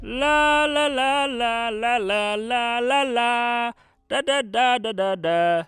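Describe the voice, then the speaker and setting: High-voiced cheeky cartoon donkey. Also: male voice, very slow, a bit bright, clean tone, dry recording, clean studio sound, faint music behind, full character voice. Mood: scheming, playful. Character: cheeky, lazy, thieving talking donkey who sings badly. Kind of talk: Hindi animated moral story acting.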